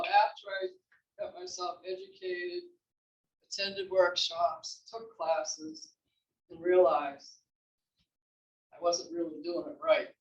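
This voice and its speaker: A woman's voice: mid-range voice, fairly bright tone. A woman playwright chatting casually to a gathering, telling anecdotes in a rambling way. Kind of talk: casual Q&A chat after a reading. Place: library event room. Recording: echoey library room, distant muffled mic.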